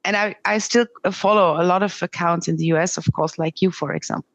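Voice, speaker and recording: Candid Swiss German woman, low voice. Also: fairly even intonation, muffled recording. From Switzerland. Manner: earnest, candid, with halting restarts. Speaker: podcast guest. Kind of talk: interview conversation.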